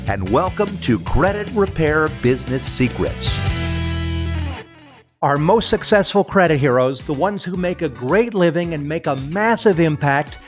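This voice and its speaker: Peppy podcast host guy, kinda high voice, light music under him. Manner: upbeat, promotional, eager. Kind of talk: energetic podcast hosting.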